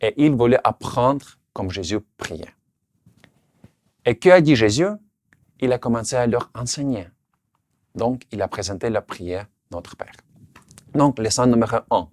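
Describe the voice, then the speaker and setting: A man who speaks French, his language, giving an earnest, measured sermon. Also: mid-range voice, expressive, a little mellow, dry recording. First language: Russian. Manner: earnest, measured, slight restarts on words. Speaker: preacher.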